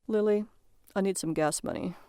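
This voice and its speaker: A woman voicing an excitable, dim-witted husband with a whiny, boastful, earnest manner in a narrated story. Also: on the low side, moderate pace, gravelly, clean tone, no room sound, clean studio sound, exaggerated voice. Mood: pleading.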